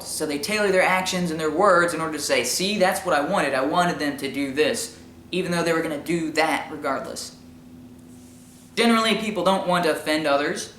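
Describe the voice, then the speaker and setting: A man with a high-pitched voice giving informal opinion commentary in an explanatory, argumentative way with emphatic repetition. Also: fairly bright tone, a little hiss or hum. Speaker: commentary show host. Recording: echoey room sound.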